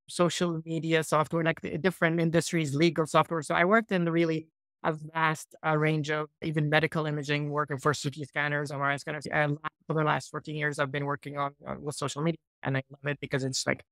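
A man in his forties from Iraq, a software founder, answering interview questions on a laptop video call in a small room, thoughtful and loose with restarts. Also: a little high, completely flat delivery, brisk, no echo. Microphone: laptop mic on a video call.

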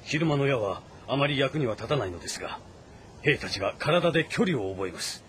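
A man's voice: medium pitch, lively intonation, fairly quick, crowd noise, lightly acted. An actor playing a keen male military strategist who commands an army, in dramatic audio drama style. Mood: measured, calculating.

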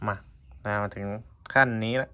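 A man's voice: unhurried, bright, forceful tone. A man giving a casual hands-on tutorial, explaining informally step by step in short phrases. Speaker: vlogger giving a product tutorial.

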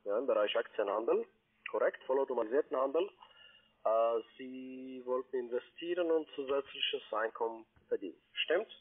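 A man making a polite phone sales pitch in non-native German with grammar slips, as a call center agent. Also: normal speed. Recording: band-limited telephone line.

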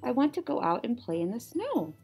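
Woman voicing an excitable, high-pitched little boy frog. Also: brisk, faint music behind. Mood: eager, pleading. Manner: exclaiming, singsong yelling. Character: eager, stubborn, excitable young boy frog. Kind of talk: children's picture-book read-aloud.

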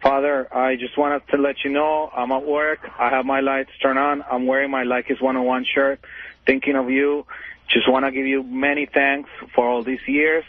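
A man's voice: mid-range voice, normal speed. A man calling in to a radio show from work, earnest and close to tears. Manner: emotional, earnest, monotone. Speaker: caller. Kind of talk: call-in radio talk. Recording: tinny phone line with faint noise.

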